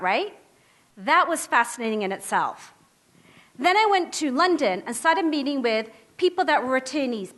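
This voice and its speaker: A woman public defender giving a talk, telling a story in an animated, conversational way and acting out the people she quotes. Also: sits high. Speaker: public defender and symposium speaker. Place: lecture stage before a live audience.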